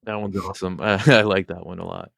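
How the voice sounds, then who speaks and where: A man chats informally as a panel guest, padding his talk with filler phrases. His low voice is resonant with a fair bit of fry, recorded close and dry. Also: flat delivery, quick pace, fairly bright tone, no room sound. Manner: chatty, peppered with "you know". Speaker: podcast guest, prop maker. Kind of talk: casual chat.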